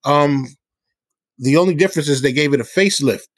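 A man's voice: on the high side, brisk, no echo. A middle-aged man from the South Bronx, answering interview questions in an earnest, explanatory, conversational way. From South Bronx, New York City. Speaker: hip hop musician and guest.

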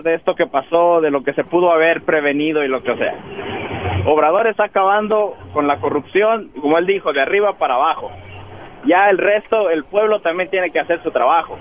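A man calling in to a radio show by phone, giving opinions casually in a halting, rambling, repetitive way. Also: fairly high pitch. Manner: halting, rambling, repeats himself. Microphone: phone call. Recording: tinny, noisy phone line.

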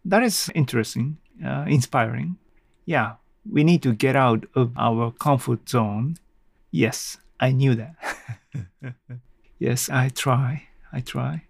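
A man speaking as a language hosts a casual solo podcast at a slow, halting pace. His voice is husky with noticeable creak, and the sound is close and dry. He rambles unscripted, with small self-deprecating chuckles. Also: medium pitch, no room sound.

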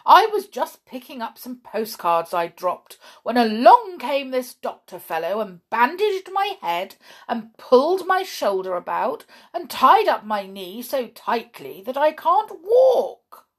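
An indignant male pixie from a children's storybook, put on by a woman. He splutters and protests in bewilderment. The voice is pitched high and leaps about. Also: sing-song, slow, heavily acted. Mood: angry, baffled.

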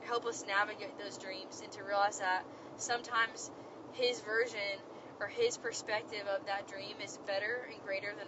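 Young woman talking from her car, voice still kinda cracked from being sick, earnest and rambly, dull sound w/ road noise. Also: mid-range voice. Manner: earnest, encouraging, rambling with many fillers. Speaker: podcast host. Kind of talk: casual faith talk. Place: in a car while driving.

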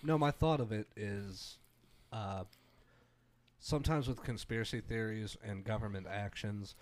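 A man's voice: fairly low pitch, measured pace. A man in his late twenties on a podcast, rambling and restarting his thoughts in a loose, casual way. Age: late 20s. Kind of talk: casual chat.

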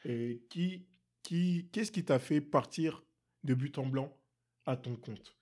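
A man's voice: mid-range voice, slow, darkish tone. A man interviewing a guest in a casual way, asking questions and finishing the guest's sentences.

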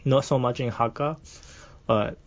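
A man's voice: medium pitch, fairly even intonation, unhurried, highs cut off. A young man from Japan with a Japanese accent, chatting as a podcast guest, hesitant with many fillers and restarts. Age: young adult. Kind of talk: casual interview chat.

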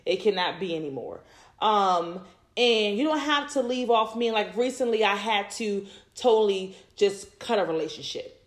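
A woman's voice: medium pitch, expressive, moderate pace. A woman in her thirties talking to listeners about faith and relationships, rambling and repeating herself with many fillers. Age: 30s. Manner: rambling, repeats words, many "you know"s. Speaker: podcast host. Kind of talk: faith-based personal talk.

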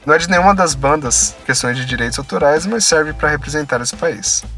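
A man's voice: fairly high pitch, brisk, fairly bright tone, soft background music. A man with a Brazilian Portuguese accent hosting an interview, upbeat and enthusiastic. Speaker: interview host. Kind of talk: interview podcast intro and questions.

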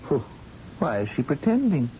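An actor playing an earnest, dutiful space flight controller in an old-time radio drama, reporting urgently and precisely. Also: male voice, a little high, very animated, normal speed, dark tone, slight background noise. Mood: puzzled. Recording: muffled old radio recording, faint music.

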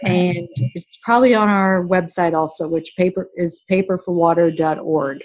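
A woman's voice: fairly low pitch, normal speed. A middle-aged woman chatting in an interview, explaining things with examples. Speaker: guest, co-founder parent of a charity. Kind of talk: casual interview talk. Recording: slightly dull lo-fi recording.

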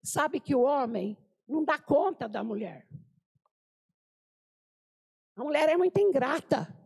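Older woman preacher, high-pitched, gravelly, animated storytelling sermon. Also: measured pace. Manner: animated, acts out quoted voices, exclaims. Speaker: preacher at a women's gathering. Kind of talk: informal sermon with anecdotes.

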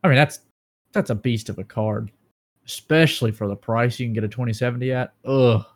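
A man co-hosting a relaxed gaming podcast, his pitch sitting squarely in the middle. He talks excitedly about graphics cards and prices, with quick jokes thrown in. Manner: excitable, breaks into dramatic movie-quote impressions. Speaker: podcast co-host. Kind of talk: casual gaming news chat.

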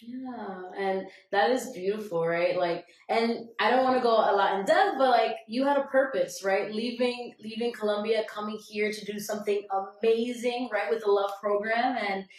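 A woman's voice: mid-range voice, moderate pace, few pauses. A woman hosting an interview, encouraging her guest with frequent affirmations and tag questions. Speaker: podcast host. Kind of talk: conversational interview. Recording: echoey room.

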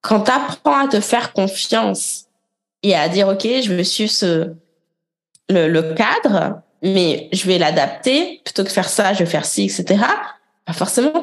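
A woman business coach leading a live group coaching session, talking directly and encouragingly with quick asides. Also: medium pitch, animated, creaky.

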